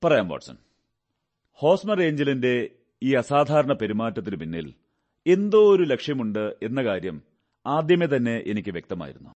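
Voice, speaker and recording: An actor playing a shrewd, commanding male detective in a Malayalam radio drama, speaking in a measured, deliberate way. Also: medium pitch, very animated, darkish tone. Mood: analytical.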